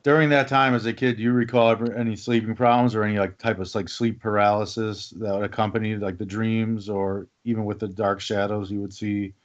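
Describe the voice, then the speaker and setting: Low-voiced man hosting a podcast. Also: level tone, fairly quick, few pauses. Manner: rambling, with fillers and back-channel murmurs. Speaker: podcast host. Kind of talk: casual conversation. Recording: dull lo-fi podcast audio.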